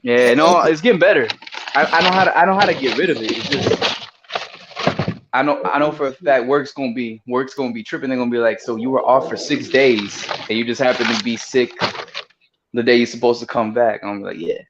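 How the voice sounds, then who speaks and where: A man's voice: mid-range voice, fairly quick. A man with a stuffy, nasal voice from a cold, chatting casually on a podcast in a laid-back, slangy way. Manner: laid-back slangy talk with easy laughs. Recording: muffled with faint hiss.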